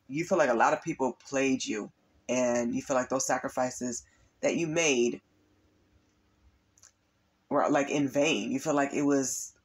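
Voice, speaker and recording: A man with a raspy voice from allergies and overuse, earnestly giving a spiritual tarot reading to his viewers. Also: medium pitch. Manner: earnest, repetitive, addresses viewers as you guys. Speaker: tarot reader and spiritual coach. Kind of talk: spiritual reading monologue to camera.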